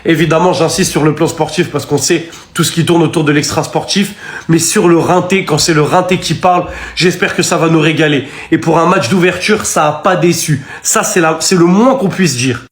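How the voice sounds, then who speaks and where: A man giving excitable, slangy and emphatic reactions to a football match in casual commentary. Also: on the high side, moderate pace, echoey room.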